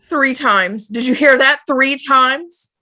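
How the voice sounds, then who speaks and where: Bright, slow-spoken anxious female passenger acted by a woman. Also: high-pitched, full character voice. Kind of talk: amateur online table read. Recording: noisy home video-call microphone.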